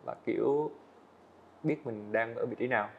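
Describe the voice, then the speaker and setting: A man's voice: medium pitch, vocal fry, phone-quality sound, slight background noise. A young man, a student animator, answering interview questions earnestly in casual speech, often restarting his phrases. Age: young adult. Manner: earnest, fast-talking, frequent small restarts. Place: indoor studio set.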